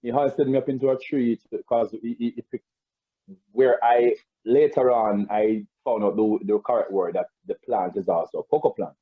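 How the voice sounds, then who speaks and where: A man's voice: medium pitch, fairly even intonation, normal speed, slightly rough, no room sound. A man with a Jamaican accent, a guest in a casual interview, telling stories about growing up, rambling with frequent restarts over a video call. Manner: rambling, many restarts and repeated words. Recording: tinny phone-like call audio.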